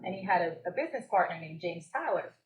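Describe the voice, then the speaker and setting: A woman's voice: on the low side, lively intonation, fast, echoey room, poor audio. A young woman from Jamaica, a business co-owner and guest on a live podcast, telling her story and laughing often. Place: stage at a live event.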